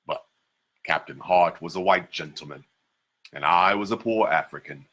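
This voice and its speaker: A man giving a measured, deliberate dramatic reading of an old first-person memoir. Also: low-pitched, a bit bright, slightly rough. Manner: measured, deliberate delivery with slowed emphases. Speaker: reader.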